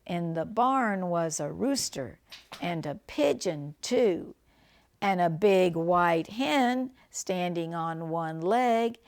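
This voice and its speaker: An older woman reading a picture book aloud to children like a grandmother, phrasing things deliberately and chatting about the pictures. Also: lowish voice, animated, a little mellow. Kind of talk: read-aloud storytelling with chatty asides and animal sounds.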